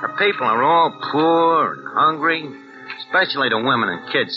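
Crook narrator , an actor in the part: slangy, ungrammatical tough guy, lively pitch, old-time radio. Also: lightly acted. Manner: slangy tough-guy talk, bad grammar. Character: streetwise small-time crook posing as an Englishman. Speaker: narrator and lead character. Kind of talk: 1940s radio acting. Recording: dull old radio recording with music.

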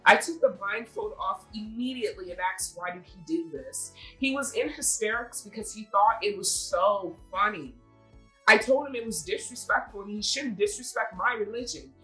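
Bright-voiced woman hosting a casual podcast. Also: mid-range voice, moderate pace, faint music behind, poor audio. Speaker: podcast host. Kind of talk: casual chat with reading aloud of online stories.

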